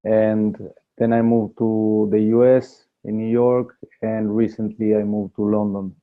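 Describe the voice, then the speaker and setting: Man from Argentina, slow hesitant intro, phone-like sound. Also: lowish voice, level tone. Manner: hesitant, many fillers. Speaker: course participant. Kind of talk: casual self-introduction on a group video call. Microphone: video call. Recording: phone-like call audio.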